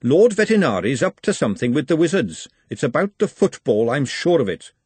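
A forthright young working woman, earnest and motherly, as a male narrator puts her on. Her husky voice sits high and swings widely, in a dry, close sound. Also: big pitch swings, fairly quick, a little mellow, dry recording. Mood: indignant.

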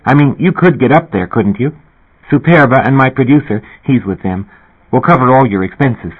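A man reading an audiobook and voicing a shy, breathless young woman singer who talks in a rushing, excited way. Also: medium pitch, breathy edge, voice pushed up, lightly acted. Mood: eager, breathless. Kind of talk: audiobook character voice. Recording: tinny phone-like audio.

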